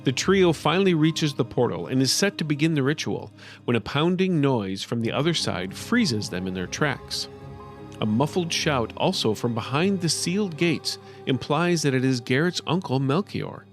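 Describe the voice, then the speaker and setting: A man's voice: medium pitch, animated, normal speed, soft background music. A man narrating a scripted fantasy story as an audiobook storyteller.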